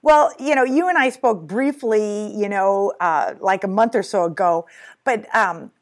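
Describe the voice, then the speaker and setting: A woman's voice: medium pitch, a little slow. A woman in her sixties hosting a podcast interview, enthusiastic as she introduces her guest. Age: 60s. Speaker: podcast host.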